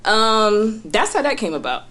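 A woman guest telling her story in a casual interview, chatty and relaxed, often trailing off quietly. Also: on the high side, measured pace. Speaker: guest rapper.

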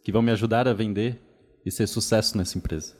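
A man's voice: fairly low pitch, fairly even intonation, fast, a little mellow, lightly acted. A Brazilian man improvising a boastful, stammering 1800s encyclopedia salesman with a Brazilian accent. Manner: boastful, stammering fake-British salesman patter. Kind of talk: improv comedy game.

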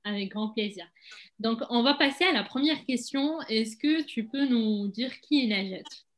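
A woman's voice: on the high side, a little slow. A woman hosting a podcast, who reads a scripted welcome and then asks brief questions. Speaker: podcast host. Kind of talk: podcast interview.

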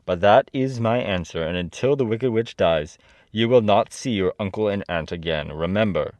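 A man voicing an imperious giant floating wizard head from a storybook, speaking in a stern, deliberate, commanding way. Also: on the low side, a little mellow, no room sound, close to an everyday voice. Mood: stern, dismissive. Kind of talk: storybook character reading.

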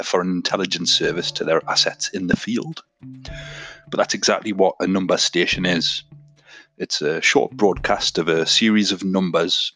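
Male podcast host, low pitch, little melodic movement, scripted but conversational narration, direct address to listeners, music behind. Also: bright, forceful tone.

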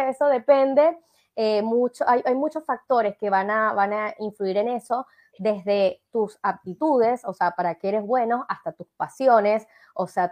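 A woman's voice: medium pitch, a little mellow. A woman from Venezuela with a Venezuelan accent, a coach explaining her ideas in an interview, with frequent fillers and tag questions. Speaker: life coach guest. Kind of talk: interview conversation.

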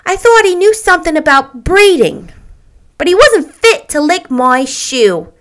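A woman voicing a brash, scornful married woman in a storybook reading, loud, emphatic and incredulous. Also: extremely high pitch, very animated, a little slow, pitched above the performer's own voice, exaggerated voice. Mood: scornful, indignant.

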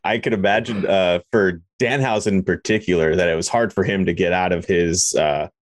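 Low-voiced man hosting a casual podcast. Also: steady tone, fairly quick, no room sound. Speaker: podcast host, interviewer. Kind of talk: casual interview chat.

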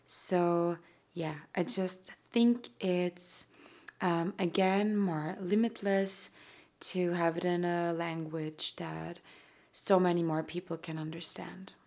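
A young woman from Austria, with an Austrian German accent, hosting her own podcast in a gentle, rambling way with soft laughs and fillers. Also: on the low side, steady tone, slow pace. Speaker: podcast host. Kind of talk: casual solo podcast monologue.

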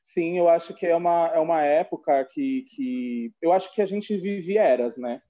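A Brazilian man with a fairly high voice talks casually as a podcast panelist. His delivery is playful, camp and colloquial, and the recording is slightly muffled. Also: moderate pace. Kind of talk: casual group chat.

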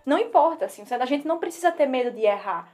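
High-voiced woman with a Northeastern Brazilian accent. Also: quick pace. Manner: earnest, animated storyteller. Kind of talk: casual chat.

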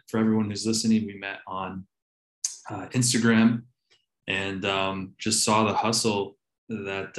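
A man hosting a podcast, talking in an easygoing way full of fillers. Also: lowish voice. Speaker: podcast host. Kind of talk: casual interview chat. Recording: echoey room.

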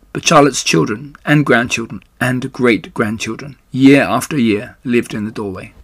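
A man reading a children's book aloud as storyteller, with measured, expressive phrasing. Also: medium pitch, creaky, no echo. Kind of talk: scripted children's book narration.

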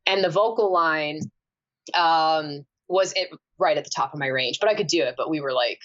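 A woman, a singer, chatting casually on a podcast, telling animated stories and laughing often. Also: low voice, moderate pace, muffled recording. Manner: animated storyteller, laughs often, many fillers.

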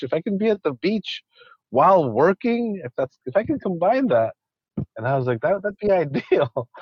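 A man's voice: fairly high pitch, dry recording, dull audio. A man from Ecuador, a conservationist guest, talking casually in an interview with many restarts and repeated words.

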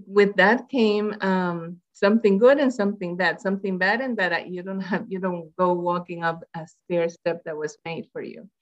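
A woman's voice: lowish voice, fairly even intonation, moderate pace, hardly any pauses, highs cut off. A woman from Puerto Rico, a public health researcher, speaking in a conversational interview and rambling with many fillers and restarts.

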